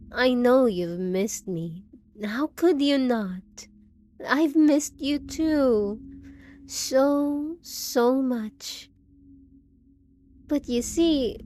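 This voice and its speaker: Woman as undead yandere bride, higher-pitched, unhurried, sugary, possessive, teasing, unhinged, audio roleplay. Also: darkish tone, a little hiss or hum. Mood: pleading, apologetic, then eerily adoring. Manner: drawn-out, cooing endearments with giggles and teasing questions. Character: possessive, unhinged undead bride, sweetly menacing, coaxing her spouse. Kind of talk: scripted roleplay audio drama.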